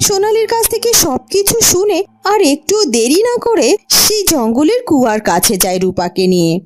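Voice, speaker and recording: High-voiced, animated woman storyteller. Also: moderate pace. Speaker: narrator. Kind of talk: scripted children's fairy-tale narration. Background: background music.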